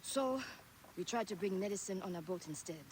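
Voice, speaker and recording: A woman playing a kindly, steadfast ancient Egyptian village healer in a video game cutscene, earnest and deliberate in delivery. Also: medium pitch, lively intonation, a little hiss or hum, close to an everyday voice, rough recording. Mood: earnest, troubled.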